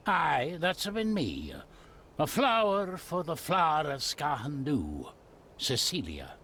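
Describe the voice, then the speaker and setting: An actor playing a gruff, old-fashioned, superstitious older Scottish gardener in a video game, blunt and guarded, speaking in dialect. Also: male voice, a little high, wildly swooping pitch, slow, pushed and bright, a little hiss or hum, heavily acted. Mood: wistful, stubborn. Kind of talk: dramatic game cutscene dialogue.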